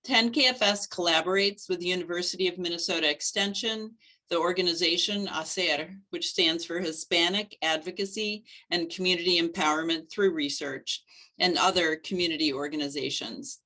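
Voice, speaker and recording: A middle-aged woman, a study coordinator, giving a clear, steady scripted presentation on a webcam from her living room. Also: on the low side, normal speed, poor audio.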